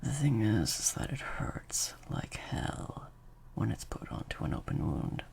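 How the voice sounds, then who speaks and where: Man acting a slow, creaky, sadistic vampire master. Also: on the low side, huge pitch swings, heavily acted. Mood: cold, menacing. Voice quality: breathy, creaky, mostly whispered. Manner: slow, drawn-out, softly taunting. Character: slightly sadistic male vampire master, menacing yet praising. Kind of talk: improvised ASMR-style audio roleplay.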